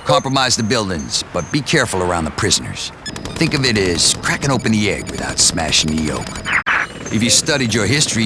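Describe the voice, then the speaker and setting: A man's voice: low-pitched, heavy background noise. An actor playing a stern male military commander who gives clipped, authoritative mission orders in a game cutscene. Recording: music bed under the briefing.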